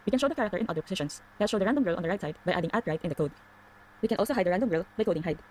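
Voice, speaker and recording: A woman reading scripted tutorial narration, explaining things clearly step by step. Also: on the low side, expressive, rapid-fire, a little mellow, a little hiss or hum, low-quality recording.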